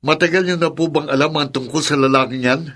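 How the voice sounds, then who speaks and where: A young Filipino elder brother in a radio drama. His voice is a little higher than average, firm and protective. He presses his points with emphatic questions. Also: quick pace, a bit bright. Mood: indignant, confronting. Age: young adult. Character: firm, protective grown son and older brother. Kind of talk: Tagalog radio drama acting.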